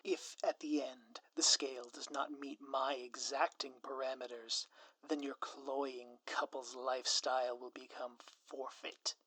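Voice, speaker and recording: A man playing a scheming, theatrical supervillain in a scripted ASMR audio roleplay, with a pompous, florid, drawn-out delivery. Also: medium pitch, little pitch movement, pushed and bright, poor audio. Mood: smug, menacing. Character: theatrical scheming male supervillain, gloating, menacing, easily flustered.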